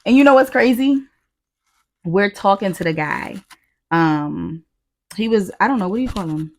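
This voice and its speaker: An American woman co-hosting a podcast, telling stories casually in slangy, profane, animated talk with quoted asides. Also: medium pitch, normal speed. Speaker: podcast co-host. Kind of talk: casual chat, storytelling.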